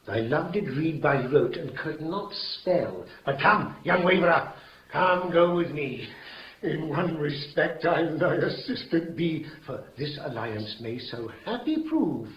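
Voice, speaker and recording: An actor playing a scolding but kindly friar in a 1950s radio Shakespeare play, declaiming as a mentor to the young. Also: male voice, on the high side, expressive, slow. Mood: wry counsel. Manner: declamatory, chiding. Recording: dull, echoey old radio recording.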